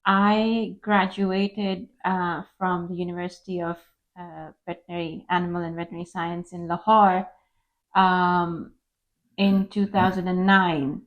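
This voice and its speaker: A woman's voice: fairly low pitch, level tone, a little slow, dull audio. A woman from Dubai, a veterinarian, explaining things carefully with many fillers as she answers interview questions. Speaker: veterinarian guest.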